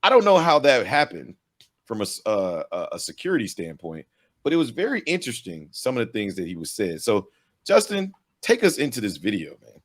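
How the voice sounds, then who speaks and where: Guy, kinda low voice, lots of up and down, casual co-host chat. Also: huge pitch swings, fairly quick. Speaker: video show co-host.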